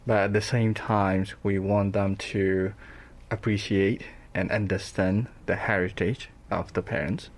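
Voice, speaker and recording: A man's voice: on the low side, flat delivery, measured pace, few pauses. A man from Burma with a Burmese accent, a parent answering interview questions thoughtfully, with frequent hesitations and restarts. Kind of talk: conversational interview.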